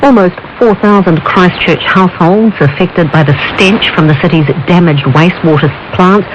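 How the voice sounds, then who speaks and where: A man's voice: sits high, normal speed. A man reading scripted radio news headlines. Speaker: newsreader. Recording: muffled, noisy shortwave radio broadcast. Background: shortwave static and noise.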